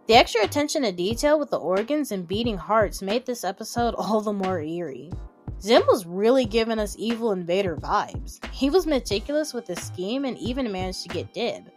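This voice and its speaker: A young adult woman delivers casual, opinionated commentary at a medium pitch. Her talk is informal and dotted with emphatic asides, and music plays behind her voice. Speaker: video essay narrator. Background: background music.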